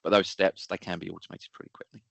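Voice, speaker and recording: A man's voice: low voice, level tone, fast talker, vocal fry, fairly bright tone, no echo. A man giving an informal expert explanation in a technical webinar, going step by step with practical examples. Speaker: technical expert answering audience questions.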